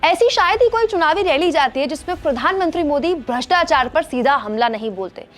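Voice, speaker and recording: A woman with a high voice works as a news presenter. She narrates political news from a script and addresses her viewers directly. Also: expressive, brisk, faint music behind.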